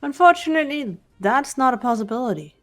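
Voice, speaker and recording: A woman's voice: high voice, very animated, brisk, faint music behind. Woman voicing a cool, analytical teenage girl investigator. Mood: explaining. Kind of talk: amateur fan voice acting of a game script.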